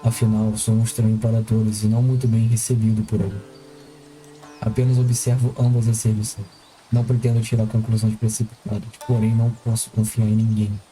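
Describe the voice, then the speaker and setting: Dark, even-toned wary samurai played by a man. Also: lowish voice, brisk, soft background music, close to an everyday voice, low-quality recording. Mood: wary, reflective. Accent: Brazilian. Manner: formal, restrained. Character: wary, solemn, courteous male outsider samurai. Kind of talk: first-person audio drama narration.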